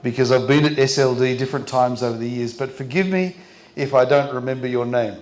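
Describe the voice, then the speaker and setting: An older Australian pastor preaching in short, gentle phrases, pausing so an interpreter can translate his sermon. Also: medium pitch. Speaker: visiting pastor. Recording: roomy hall echo.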